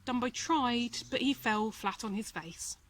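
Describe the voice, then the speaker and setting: A woman reading a children's storybook aloud in an expressive narrating style. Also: on the high side, measured pace, background noise. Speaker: volunteer storybook reader.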